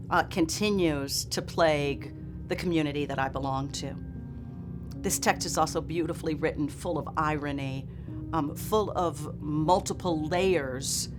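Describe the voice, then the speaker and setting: A middle-aged woman, a scholar and teacher, lecturing on literature in a deliberate, articulate and emphatic way. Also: low-pitched. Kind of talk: literary lecture and expressive reading aloud. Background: music.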